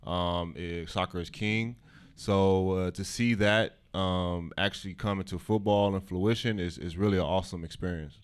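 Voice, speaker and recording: A man in his thirties, a football coach, answering interview questions in a halting way with many fillers. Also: sits low, fairly even intonation, smooth.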